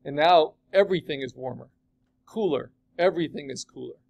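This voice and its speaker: Chatty male art teacher, higher voice. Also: fairly quick, vocal fry, a little mellow, dull audio. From California. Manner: chatty, asks the class questions. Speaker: art instructor. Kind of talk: classroom software demo.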